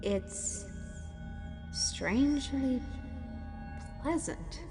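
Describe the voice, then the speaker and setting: A woman voicing a brash, boisterous adventurer who talks gruffly, calls people lass and lad, and breaks into shouts. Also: sits high, lively intonation, drawn-out words, in a large hall, music in the background, exaggerated voice.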